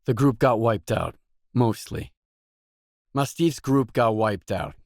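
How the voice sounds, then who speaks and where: An actor playing a terse, guarded mercenary in a video game, speaking scripted lines in a matter-of-fact way. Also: male voice, medium pitch, lively intonation, takes it slowly, dry recording, clean studio sound.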